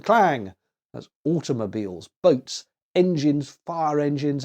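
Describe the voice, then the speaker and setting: A man narrates a children's story in a mid-pitched voice. His delivery is very animated, swinging up and down with expressive, dramatic emphasis.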